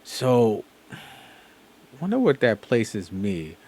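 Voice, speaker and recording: A man's voice: fairly low pitch, very animated, slow, darkish tone. A man from the United States hosting a reaction video, talking in a loose, slangy way with lots of fillers and restarts. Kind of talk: casual reaction commentary.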